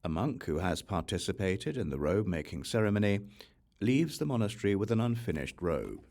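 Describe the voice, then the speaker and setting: Low-pitched man reading scripture aloud. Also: big pitch swings, fairly quick, vocal fry, a little mellow. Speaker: audiobook reader.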